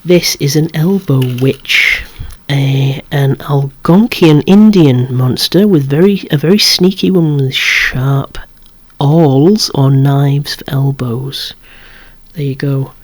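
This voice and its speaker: Breathy whispering ASMR collector. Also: medium pitch, slow pace. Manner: rambling, chatty, lightly wry asides. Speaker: toy collector presenting own collection. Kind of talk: whispered ASMR show-and-tell of a toy collection.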